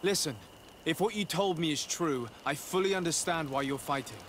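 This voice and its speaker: A man playing a calm, courteous noble envoy in a video game, speaking in a measured, formal, conciliatory way. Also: on the high side, a little hiss or hum, natural voice. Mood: reasoning, concerned. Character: calm diplomatic male legate, courteous young noble envoy. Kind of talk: scripted video game cutscene dialogue.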